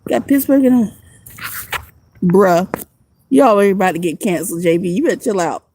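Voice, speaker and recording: Woman, homeless vlogger, slangy, rambling, easygoing, phone livestream, mid pitch. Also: fairly quick, warm tone. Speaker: homeless vlogger and music producer. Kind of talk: casual livestream chat. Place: outdoors at night.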